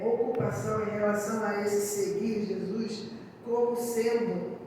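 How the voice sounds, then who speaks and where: Hoarse Brazilian woman speaking slowly in a hall. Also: medium pitch. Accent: Brazilian Portuguese. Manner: deliberate, often asking "né?" of the audience. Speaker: spiritist lecturer. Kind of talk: spiritist talk to an audience. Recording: echoey hall, distant mic, background noise.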